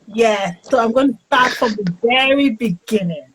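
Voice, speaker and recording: A woman's voice: on the high side, lively intonation, normal speed, a bit bright, slight background noise, poor audio. A woman author being interviewed on a casual livestream. She is friendly and keeps greeting viewers as she talks. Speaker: author, guest.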